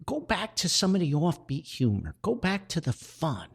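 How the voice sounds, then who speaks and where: A man voicing a doting elderly mother, chatty, affectionate and gently bossy, in podcast storytelling. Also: on the high side, big pitch swings, normal speed, creaky, breathy edge, voice pushed up, exaggerated voice. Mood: gently pleading.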